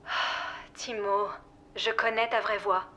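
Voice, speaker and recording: An actress playing a weary, exasperated former partner in audio fiction, blunt, sarcastic and firm. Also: female voice, mid-range voice, level tone, unhurried, pushed and bright, slight background noise. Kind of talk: audio fiction drama acting.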